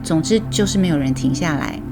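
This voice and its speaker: A woman's voice: sits low, brisk. A woman with a Taiwanese accent, a storytelling host reading scripted narration. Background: music bed.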